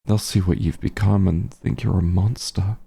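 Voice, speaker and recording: A man playing a remorseful werewolf hunter in an intimate fantasy audio roleplay, speaking gently and haltingly with a husky, emotional voice. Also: sits low, full character voice. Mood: worried, determined. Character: werewolf hunter, tender and remorseful, reunited with lost friend. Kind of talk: roleplay audio drama, intimate monologue to listener.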